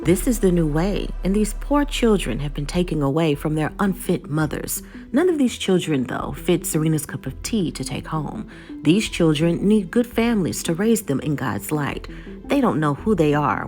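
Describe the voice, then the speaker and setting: A woman's voice: sits low, big pitch swings, normal speed, darkish tone, soft background music. A woman narrating a scripted episode recap as the host of a video channel.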